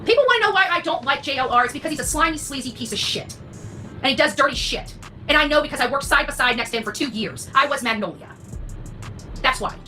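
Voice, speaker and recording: A woman's voice: high-pitched, expressive, quick pace, pushed and bright. A woman delivering an impassioned, blunt rant to an online audience, indignant and emphatic, often swearing. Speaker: online true crime content creator. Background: background music.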